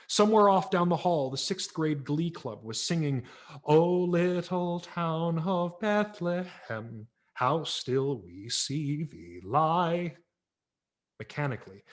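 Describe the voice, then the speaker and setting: A man, a professional audiobook narrator, giving a wry and expressive dramatic reading of a memoir story at a virtual library event, with small character voices. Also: mid-range voice.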